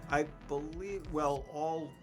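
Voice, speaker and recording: A man's voice: a little high, fairly even intonation, slow pace, music in the background. A man chatting casually and teasingly as co-host of a tabletop game stream. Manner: joking, teasing banter. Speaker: show co-host and player.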